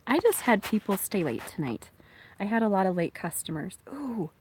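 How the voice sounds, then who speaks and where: A woman from Minnesota casually chatting with viewers on a live stream from her phone outdoors, her voice slightly raspy and congested from allergies, often dropping to a hush. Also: mid-range voice, big pitch swings, normal speed, slight background noise, poor audio. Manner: soft-spoken, often hushed, laughing to herself. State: congested from allergies after a chest cold. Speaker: livestream host. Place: outdoors during a thunderstorm. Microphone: phone held outdoors.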